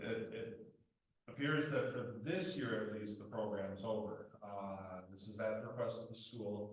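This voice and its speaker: Male marshal, lowish loud voice, halting report, distant mic in a boomy meeting room. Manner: plain, halting, many uh fillers. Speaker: village marshal. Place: village committee meeting room. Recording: very echoey room, voice from far off.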